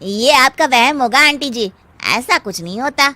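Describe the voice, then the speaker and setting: A woman's voice: high-pitched, lively intonation, normal speed, heavy background noise. A woman voicing an easygoing, sceptical young man visiting as a houseguest in a story.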